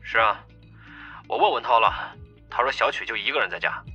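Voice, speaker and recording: Man acting young gangster, raspy, forceful, threatening, audiobook drama, music behind. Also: medium pitch, a little fast, lo-fi sound. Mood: brisk, tough. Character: menacing, hot-tempered young gangster with a knife.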